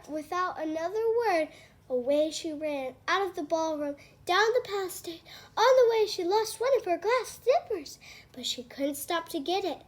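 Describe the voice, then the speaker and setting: A woman reading a children's storybook aloud as a storyteller. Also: extremely high pitch.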